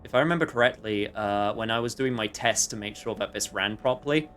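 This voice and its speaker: A man's voice: on the low side, fairly quick, fairly bright tone, a little hiss or hum. A man livestreaming a video game with casual commentary, chatting to viewers in a rambling way with jokey asides.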